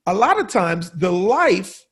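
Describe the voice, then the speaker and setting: A man speaks with a raspy, husky edge, pitched high for a man. He argues emphatically in a panel discussion. He laughs at his own wordplay. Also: big pitch swings, slow pace, a bit bright. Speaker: guest panelist.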